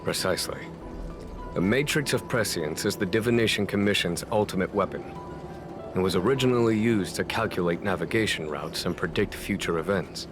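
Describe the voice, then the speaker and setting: Deep, courteous commander played by a man. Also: over music, natural voice. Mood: composed. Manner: measured, formal, diplomatic. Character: composed, courteous, shrewd male military leader. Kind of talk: scripted video game dialogue.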